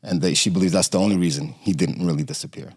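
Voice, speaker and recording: A middle-aged man from Romania, a podcast guest telling his family story in a halting way with many fillers and restarts. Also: sits low, slightly rough, no echo, studio quality. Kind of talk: conversational interview storytelling.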